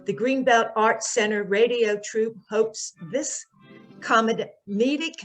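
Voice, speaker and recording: A woman's voice: fairly high pitch, slow pace, low-quality recording. Woman radio announcer over music. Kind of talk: scripted old-time radio narration.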